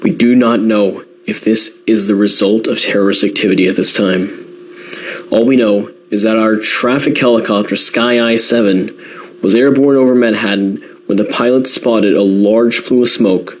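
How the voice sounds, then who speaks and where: A man playing a grave New York radio newsman in an audio drama, delivering breaking news in a measured, careful way. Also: lowish voice, steady tone, natural voice. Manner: measured, careful, repeating key details. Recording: slightly dull radio broadcast sound.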